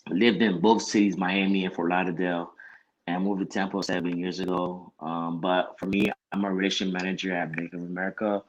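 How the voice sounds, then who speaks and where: A man's voice: low-pitched, flat delivery, brisk, lo-fi sound, a little hiss or hum. A young man from Haiti who came to the US as a child, talking casually as a podcast guest. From born in Haiti, moved to the US as a child. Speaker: podcast guest, dance instructor.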